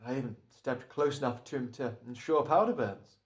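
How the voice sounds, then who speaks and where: A man's voice: medium pitch, normal speed, natural voice. A man playing a smug, careful hired killer, speaking with hesitant, mannered politeness in a radio drama. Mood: quietly smug. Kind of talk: old-time radio drama acting.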